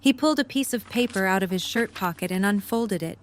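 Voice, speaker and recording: A woman's voice: medium pitch, fairly quick, natural voice. A woman reading scripted story narration for a fan audio drama.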